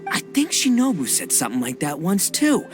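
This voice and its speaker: Loud, excitable young oni gang boss. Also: male voice, a little high, fairly quick, loud music behind the voice. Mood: musing, upbeat. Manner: brash, theatrical, slangy. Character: boisterous, cocky, hot-blooded young male oni gang boss. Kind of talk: anime game voice acting.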